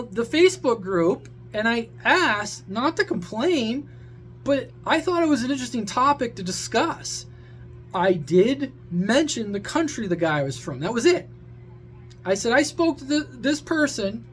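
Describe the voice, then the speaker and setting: Male vlogger, high voice, blunt rambling rant with casual swearing, noticeable hum behind. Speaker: amateur radio vlogger. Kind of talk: casual opinionated rant to viewers.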